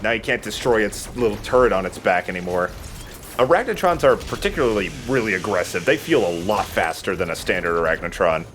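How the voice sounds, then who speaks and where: A man with a fairly low voice talks through gameplay in a casual, explanatory way, like a let's play host. There is faint noise and game ambience behind him. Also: lively intonation, brisk, a bit bright.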